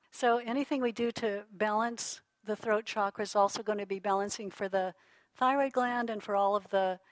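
A middle-aged woman giving a relaxed teaching talk to a class, calm and reflective, often restarting her sentences and laughing softly. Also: mid-range voice, moderate pace, no echo. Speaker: lecturer on holistic healing.

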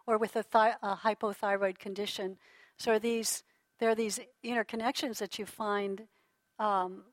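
A middle-aged woman giving a relaxed teaching talk to a class, calm and reflective, often restarting her sentences and laughing softly. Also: medium pitch, no echo. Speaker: lecturer on holistic healing.

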